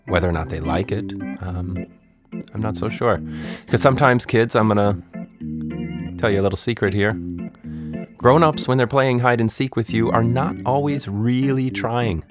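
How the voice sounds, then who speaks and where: A man's voice: lowish voice, vocal fry. A man hosting and telling stories for children, talking to them directly in a playful, conspiratorial way. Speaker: host, author and storyteller. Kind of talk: children's storytelling podcast.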